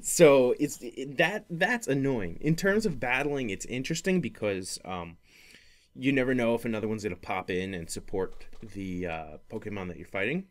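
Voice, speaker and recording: A man's voice: medium pitch, expressive, moderate pace. A man chatting casually about gaming as a podcast host, in a rambling, hesitant way with frequent restarts. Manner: hesitant, rambling, often repeating and restarting phrases.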